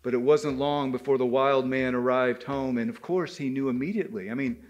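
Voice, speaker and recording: A man telling a story to a live gathering in a deliberate, dramatic way, with pauses, as the leader of the group. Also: medium pitch, steady tone, lo-fi sound. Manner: deliberate, pausing, addresses listeners as brothers. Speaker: storyteller leading a men's spirituality gathering.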